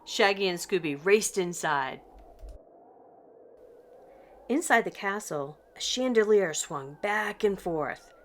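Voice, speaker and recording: An older woman reading a children's picture book aloud in an expressive, deliberate storytelling manner. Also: medium pitch, noisy background. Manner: slow, deliberate, animated delivery for children. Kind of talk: expressive scripted read-aloud narration.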